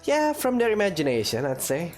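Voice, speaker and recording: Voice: medium pitch, huge pitch swings, brisk, over music. A gaming streamer giving casual, sarcastic, skeptical reactions during a playthrough.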